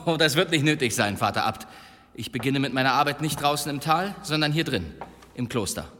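An actor playing a shrewd, zealous medieval demon hunter in a German audio drama, probing and pointed, with archly polite irony. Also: male voice, mid-range voice, expressive, fairly quick, sharp tone, slight background noise. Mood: wry, determined.

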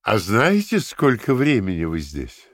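An actor playing a coldly patient, menacing Party interrogator in a radio play, with a theatrical, deliberate, lecturing delivery that swings from hushed to shouting. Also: male voice, on the low side, huge pitch swings, no room sound, clean studio sound, heavily acted. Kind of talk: dramatic radio play acting.

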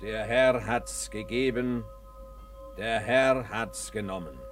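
Slow, solemn preacher. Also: male voice, lowish voice, soft background music. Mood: solemn, admonishing. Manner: declamatory, severe. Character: stern, solemn preacher reciting scripture. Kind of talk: sermon-like radio play recitation.

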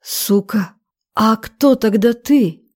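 A woman voicing a furious, menacing young man in an audiobook, speaking in clipped, deliberate phrases. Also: a little high, warm tone. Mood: bitter contempt.

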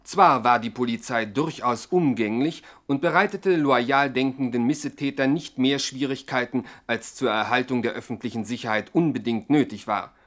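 A man's voice: mid-range voice, normal speed, few pauses, fairly bright tone. A man narrating a scripted satirical radio play in a dry, mock-formal manner. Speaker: second narrator.

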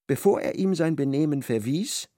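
A man narrating a literary radio drama in an expressive, storytelling manner. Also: on the high side, moderate pace, no room sound, clean studio sound.

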